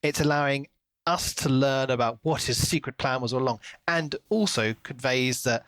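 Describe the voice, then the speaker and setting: A man co-hosting a podcast, talking through a book in a casual, animated retelling style. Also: mid-range voice, normal speed, clean tone, dry recording. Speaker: podcast co-host.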